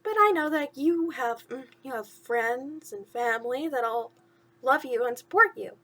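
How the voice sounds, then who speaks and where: A woman's voice: high-pitched, animated, normal speed, fairly bright tone. A woman hosting bedtime stories, speaking simply and encouragingly to young listeners. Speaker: host of a bedtime story series. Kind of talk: storytelling to children.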